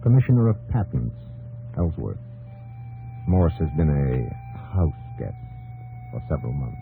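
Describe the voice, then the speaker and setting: Old time radio narrator guy, deep voice, clipped dramatic delivery, thin lo-fi sound with music under. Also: big pitch swings, unhurried, gravelly. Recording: dull old radio broadcast, music behind.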